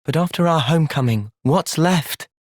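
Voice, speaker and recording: An earnest young British soldier, dry studio sound. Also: male voice, on the high side, animated, normal speed, no room sound. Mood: thoughtful, sincere. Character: earnest, thoughtful young male soldier, gentle but resolute. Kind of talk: video game cutscene voice acting.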